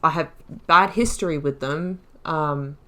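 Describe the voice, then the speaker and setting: A woman's voice: sits low, a little slow, sharp tone. A woman giving casual, rambling and reflective game commentary as she thinks aloud through a playthrough. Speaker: gaming video host.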